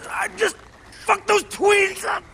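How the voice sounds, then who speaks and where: A shrill, gravelly captive villain. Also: male voice, flat delivery, takes it slowly, heavy background noise, heavily acted. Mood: taunting, enraged. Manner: taunting, ranting. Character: defiant, foul-mouthed captive villain. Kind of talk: cinematic game voice acting.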